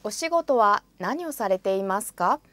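A woman's voice: medium pitch, animated, fairly bright tone, no room sound. A woman in a studio speaking example phrases for a language course, saying each one carefully and then repeating it. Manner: careful, deliberate pronunciation.

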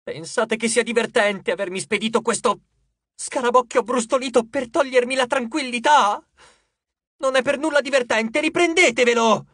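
A man's voice: extremely high pitch, moderate pace, fairly bright tone, no echo, studio quality, voice pushed up. A man voicing a furious, haughty teenage goddess in an audiobook, exclaiming with imperious indignation. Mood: furious, indignant. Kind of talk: audiobook character voice.

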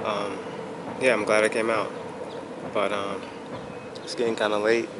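A man's voice: fairly low pitch, measured pace. Laid-back man vlogging outdoors. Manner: relaxed, repetitive casual talk with slang. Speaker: car vlogger. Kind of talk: casual vlog. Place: outdoors by a street, then in a car. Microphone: handheld camera at arm's length. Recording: loud traffic noise outdoors.

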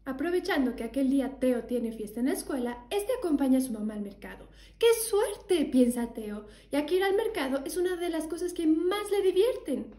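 Young female story reader, fairly high soft-toned voice, roomy recording. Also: animated, normal speed, few pauses. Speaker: elementary school teacher reading aloud. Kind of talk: children's storybook reading. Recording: echoey room.

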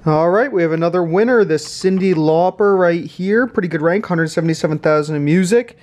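A man's voice: sits high, brisk, darkish tone. A man doing casual vlog commentary as a reseller, thinking aloud as he goes. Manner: thinks aloud while tallying numbers. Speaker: reseller host of a thrifting channel. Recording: clear background noise, clicks.

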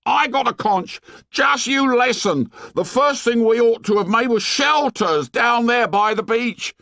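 A man's voice: high voice, animated, slow, gravelly, fairly bright tone, no echo, pitched above the performer's own voice, full character voice. A man voicing an indignant, anxious schoolboy in an audiobook, scolding in whiny, ungrammatical speech. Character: indignant, whining schoolboy, anxious bespectacled outsider.

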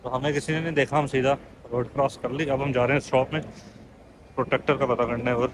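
A man in his thirties with a Pakistani Urdu accent talks casually into a phone held out in front of him while walking a busy street. His voice sits at an ordinary middle pitch and he explains things as he goes. Clear traffic noise runs underneath the whole outdoor recording. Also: flat delivery, fast talker. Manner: chatty, explains as he walks. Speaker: vlogger. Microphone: phone held at arm's length.

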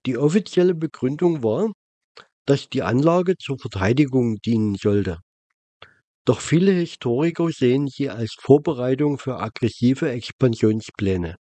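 A man reading a scripted, factual documentary narration in a measured way. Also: mid-range voice, lively intonation, mellow, no echo. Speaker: narrator of a travel documentary vlog.

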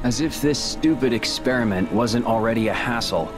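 An actor playing a cold, scornful young male villain in a video game, speaking deliberately. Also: medium pitch, close to an everyday voice. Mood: cold, taunting. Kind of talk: video game cutscene acting. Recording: dramatic game music behind.

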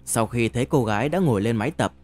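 A man narrating a novel as an audiobook in a measured, deliberate storytelling manner. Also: medium pitch, expressive, a little mellow.

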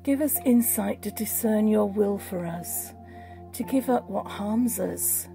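A woman's voice: medium pitch, slow pace, creaky, dark tone, soft background music, poor audio. A woman reading a prayer in a solemn, formal style. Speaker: prayer reader.

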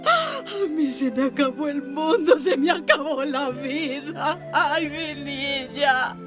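A woman's voice: sits high, lively intonation, slow, over loud music. A woman with a Colombian accent playing a distraught mother in a radio soap, sobbing and wailing as she speaks. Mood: sobbing despair. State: crying. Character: distraught mother grieving for her abused young daughter. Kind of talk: radio soap opera acting.

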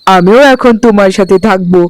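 A tender young village husband from a cartoon fairy tale, acted by a man. His voice is very high for a man, earnest and pleading, with faint music behind it. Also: fairly quick, lightly acted. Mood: loving, reassuring.

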